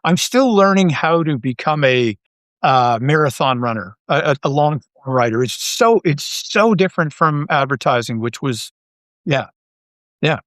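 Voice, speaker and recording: A middle-aged man talking casually as an interview guest, thinking aloud with halting, repeated phrases. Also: on the high side, lively intonation, a bit bright, no echo. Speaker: guest, audio drama writer and creator.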